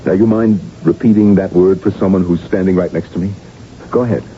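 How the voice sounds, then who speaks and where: Radio drama male actor: exasperated doctor, emphatic and persuasive, deep-set mellow tone, muffled recording with clear hiss and rumble. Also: fairly even intonation, fairly quick, lightly acted. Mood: brisk, defensive. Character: exasperated, earnest male doctor and loyal old friend. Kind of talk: 1980s radio drama acting. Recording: dull, rumbling, noisy old radio recording.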